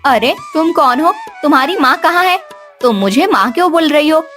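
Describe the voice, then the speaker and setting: A woman's voice: sits high, animated, normal speed, a bit bright, faint music behind, pitched above the performer's own voice. A woman playing a kindly, caring village housewife in a cartoon story. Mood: puzzled.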